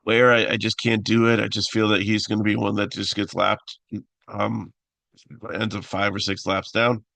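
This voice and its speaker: A man with a raspy voice hosting a casual fantasy picks talk, halting with many fillers and restarts. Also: on the low side, little pitch movement, bright, forceful tone, no echo. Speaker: fantasy sports analyst host.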